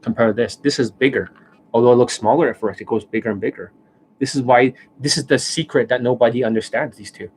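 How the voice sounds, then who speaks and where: A man's voice: medium pitch, fast, slight background noise, low-quality recording. Ranting man with a tired voice. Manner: blunt, ranting, swears often. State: losing his voice, coughing. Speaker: dating coach. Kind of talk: livestream monologue. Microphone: desk microphone.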